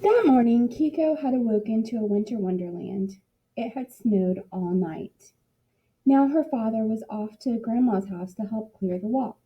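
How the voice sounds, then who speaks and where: A woman narrating a picture book for children in an expressive read-aloud style, like a teacher in class. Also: a little high, normal speed, lo-fi sound.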